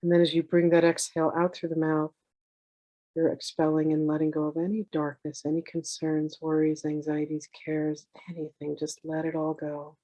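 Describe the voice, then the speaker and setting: A meditation teacher guiding in a soothing, unhurried way, with gentle instructions in drawn-out phrases. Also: medium pitch, completely flat delivery, no room sound, poor audio. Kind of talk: guided meditation, slow instruction.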